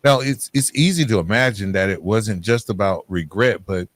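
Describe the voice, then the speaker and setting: Male podcast host, lowish voice, close dry sound, informal and agreeable interviewer. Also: normal speed, no room sound. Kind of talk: casual interview.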